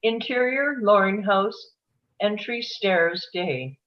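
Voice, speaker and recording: A woman's voice: mid-range voice, slow pace, muffled recording. A woman reading scripted scene descriptions and stage directions as the narrator of a table read.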